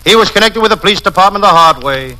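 An actor playing a wisecracking parody private detective, narrating gags deadpan in old-time radio comedy style. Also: male voice, high voice, quick pace, heavily acted. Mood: wry. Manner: deadpan gag-telling narration. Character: wisecracking hard-boiled parody private detective, adult man. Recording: noisy, crackling vintage radio recording.

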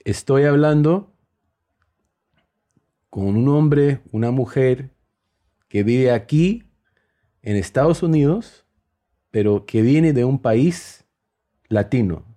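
A man in his forties, an English native speaker, talks with a mixed Peruvian, Spanish and Mexican-American Spanish accent. He jokes and tells stories with animation in a mid-pitched voice. The tone is warm and mellow. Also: slow, long pauses. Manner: joking, animated storytelling. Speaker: podcast host. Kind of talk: casual chat.

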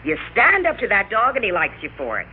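An American actress playing a domineering, impatient wife who scolds and interrupts, in old-time radio drama style. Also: female voice, medium pitch, wildly swooping pitch, a little fast, narrow, tinny audio. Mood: lecturing. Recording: thin, noisy vintage radio recording.

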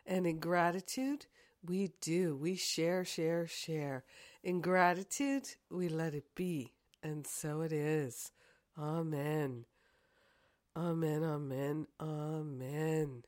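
A woman with a hoarse, croaky voice from a cold, leading a slow, earnest guided prayer full of affirmations. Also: deep voice, steady tone, no room sound, studio quality. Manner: soft, slow and earnest, repeating "grateful and thankful". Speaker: spiritual teacher and podcast host.

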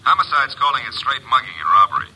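A man playing a wisecracking young assistant to a detective in a 1940s radio drama, with a husky voice. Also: medium pitch, big pitch swings, fast, bright, forceful tone, heavily acted, rough recording. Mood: brisk.

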